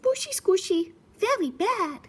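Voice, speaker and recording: Lady doing a high squeaky piglet girl, stubborn n merry, silly sing-song storybook voice. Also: big pitch swings, measured pace, exaggerated voice. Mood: gleefully defiant.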